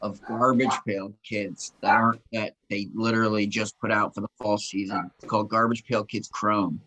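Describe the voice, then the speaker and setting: A man co-hosting a casual talk show, chatting excitedly and swearing casually. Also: on the low side, normal speed, low-quality recording. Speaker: podcast co-host. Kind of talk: casual chat.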